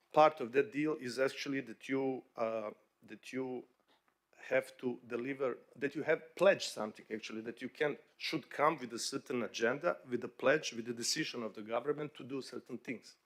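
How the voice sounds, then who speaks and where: Halting man from Bosnia, English. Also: medium pitch. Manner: halting, many fillers and restarts, conversational. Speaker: bank executive panelist. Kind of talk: conference panel remarks.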